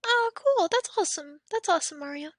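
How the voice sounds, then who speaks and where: A young woman streamer chatting casually with her viewers and reading out chat, her voice a little worn from losing it, often dropping into soft whispery asides. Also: very high-pitched, animated, fairly quick, no room sound, clean studio sound. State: losing her voice, throat a bit off.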